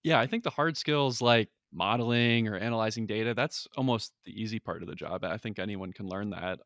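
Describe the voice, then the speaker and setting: Young guy analyst, kinda low voice, earnest, dry room. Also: a bit bright, no room sound. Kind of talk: podcast interview answers.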